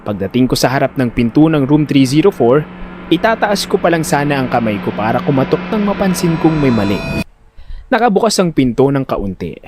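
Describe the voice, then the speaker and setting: A man giving expressive, comically exasperated first-person narration of a dramatic audio story. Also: medium pitch, fairly quick, background noise. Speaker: narrator and lead voice actor.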